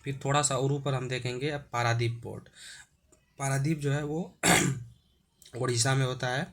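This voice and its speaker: A man with a sore throat, explaining an exam-prep lesson with many hesitations and frequent throat clearing. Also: medium pitch, fairly even intonation, unhurried, a bit bright.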